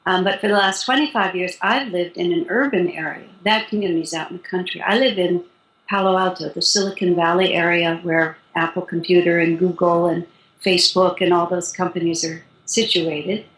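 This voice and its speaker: Calm older woman in a roomy echo. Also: lowish voice. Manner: calm, unhurried explaining with light laughs. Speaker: spiritual teacher. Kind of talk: interview guest.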